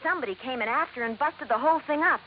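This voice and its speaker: An actress playing a chatty, naive, excitable young secretary in a 1950s radio drama, gushing and rambling in colloquial phrases. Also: female voice, sits high, racing, close to an everyday voice. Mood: gossipy, excited. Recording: muffled old radio recording, faint hiss.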